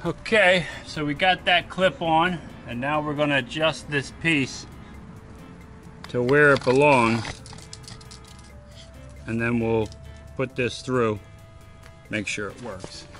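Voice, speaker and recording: A man giving a casual step-by-step how-to while working on a boat trailer on his driveway, often turning away from a low camera. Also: slow, pauses often, fairly bright tone, soft background music. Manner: plain, practical, step-by-step explaining while working. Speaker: DIY vlogger. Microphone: camera mounted low on the ground, voice often off-mic.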